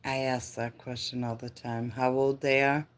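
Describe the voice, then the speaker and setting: A woman's voice: rumbling low, level tone, normal speed. A woman in her fifties chatting casually with live viewers, laughing often and answering comments. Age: 50s. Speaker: livestream host.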